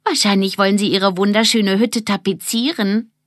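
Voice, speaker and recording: A woman's voice: mid-range voice, steady tone, normal speed, dry recording, studio quality, pitched above the performer's own voice, natural voice. A woman voicing a cheeky, teasing girl from a children's gang story, mocking and pert.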